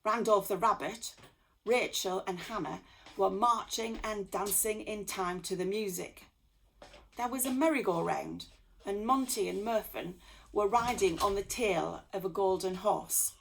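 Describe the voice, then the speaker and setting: Slow-paced woman reading a bedtime story. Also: medium pitch, gravelly, slight background noise, rough recording. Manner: expressive, with acted dialogue. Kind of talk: children's bedtime story read aloud.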